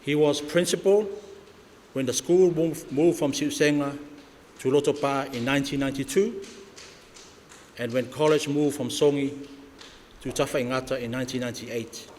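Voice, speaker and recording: A man's voice: medium pitch, steady tone, darkish tone, a little hiss or hum. Samoan man giving a church tribute. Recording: reverberant church with faint ambience.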